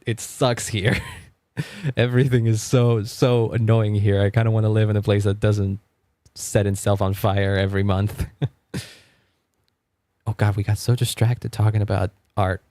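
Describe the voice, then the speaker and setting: A man's voice: fairly low pitch, a little mellow, dry recording. A man from Argentina, a Spanish speaker, chatting casually on a live stream. He rambles, repeats words as he stammers, and laughs often. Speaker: music streamer.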